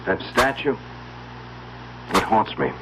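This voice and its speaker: An actor playing an uneasy, suspicious young husband in an earnest, questioning old-time radio mystery style. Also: male voice, on the low side, big pitch swings, slow. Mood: troubled. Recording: dull, noisy old radio recording.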